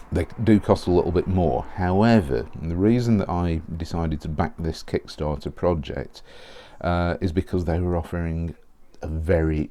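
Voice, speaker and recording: A man talking casually while unboxing and looking over a pen, rambling and correcting himself, clearing his throat often as he loses his voice. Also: deep voice, dark tone. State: losing his voice. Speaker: fountain pen reviewer.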